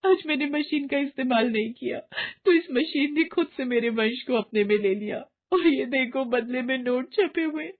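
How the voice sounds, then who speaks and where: A woman voicing an excitable, greedy young daughter-in-law in a melodramatic moral-story cartoon, with a gushing, dramatic manner. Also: high voice, normal speed, pitched above the performer's own voice, lightly acted. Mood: tearful, distraught. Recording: muffled, narrow phone-like band.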